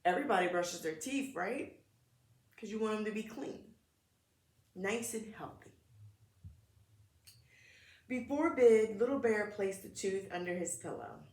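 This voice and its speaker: Slow woman reading aloud, echoey room. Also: mid-range voice, animated, a little mellow. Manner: simple short phrases, pausing, questioning listeners directly. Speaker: read-aloud storyteller for preschool children. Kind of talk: interactive picture-book read-aloud.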